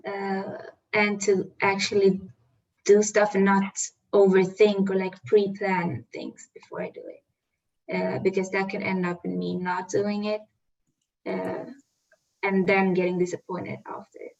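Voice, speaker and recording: A woman's voice: mid-range voice, little pitch movement, a little slow, a little mellow. A woman casually sharing reflections as a workshop participant. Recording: slightly dull, lo-fi audio.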